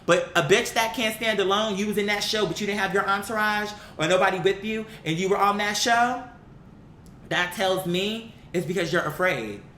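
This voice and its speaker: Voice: moderate pace, sharp tone. An online commentary host giving a blunt, opinionated, casual rant to viewers, swearing freely and repeating words for emphasis. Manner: blunt, profane, emphatic repetition. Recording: roomy echo, faint rumbling noise.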